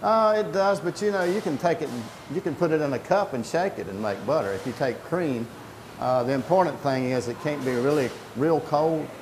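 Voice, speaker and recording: A man's voice: on the high side, fairly quick. A middle-aged man explaining a production process step by step in a plain-spoken interview style. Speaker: creamery worker explaining production. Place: indoor creamery processing room with steel tanks. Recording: echoey room, loud equipment noise.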